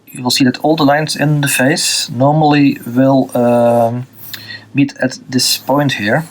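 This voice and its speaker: A man from Belgium with a Dutch accent, teaching a drawing lesson off the cuff, pausing often with fillers and restarts while he draws. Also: medium pitch. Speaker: art teacher. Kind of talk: unscripted drawing tutorial.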